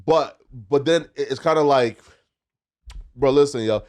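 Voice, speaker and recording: A man's voice: mid-range voice, a little slow, darkish tone. A man in a casual podcast debate, speaking emphatically and argumentatively in slang. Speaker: podcast panelist.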